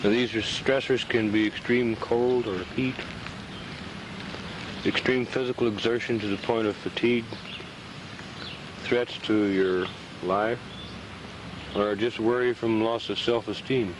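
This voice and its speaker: A man explaining a subject informally and haltingly, recorded outdoors on 1970s reel-to-reel video. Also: lowish voice, steady tone, background noise. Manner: halting, with frequent throat clearing. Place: outdoors beside a pond.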